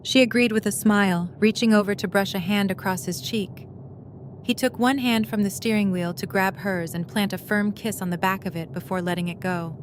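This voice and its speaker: A female narrator reads scripted story passages for an audio drama. Her pitch is average, and there is faint noise behind the voice. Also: steady tone, moderate pace.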